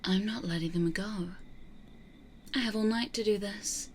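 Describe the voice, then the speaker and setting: A woman playing a stubborn, possessive vampire in an ASMR roleplay, speaking in a dry, hushed way. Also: medium pitch, measured pace, slight background noise, close to an everyday voice. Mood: possessive, annoyed.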